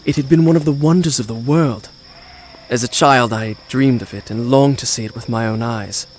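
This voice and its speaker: A young prince from a video game, acted by a man, recounts his adventure with wistful gravity. His mid-range voice is measured and literary, with faint ambient noise around it. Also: mid-range voice, lightly acted. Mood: wistful, grave. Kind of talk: video game voice acting, dramatic narration.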